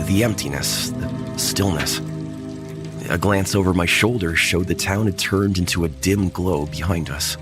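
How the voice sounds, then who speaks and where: A man narrating a tense first-person horror story. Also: deep voice. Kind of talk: horror audio drama narration. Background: music.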